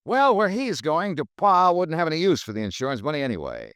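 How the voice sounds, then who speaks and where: A man's voice: on the high side, big pitch swings, a little fast, a bit bright, smooth, no echo. An actor playing a cool, dry male insurance investigator in a 1950s radio drama, asking terse, clipped questions. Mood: wry.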